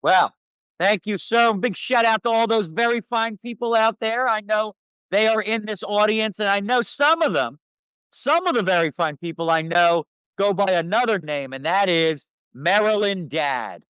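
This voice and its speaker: A man's voice: sits high, animated, moderate pace, fairly bright tone, clean tone, no echo. An American man from Philadelphia talking casually as a guest, brash, sarcastic and salty, on a slightly dull microphone. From Philadelphia, United States. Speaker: guest, publicist and commentator. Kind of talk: casual talk, political commentary.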